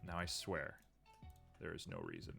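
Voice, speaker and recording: Male streamer, lower register, a bit sniffly, relaxed think-aloud commentary full of ums. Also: moderate pace, creaky, faint music behind. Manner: thinks aloud, frequent ums, muttering at the software. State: a little sniffly. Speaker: streamer building a 3D model. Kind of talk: casual live-stream commentary while working.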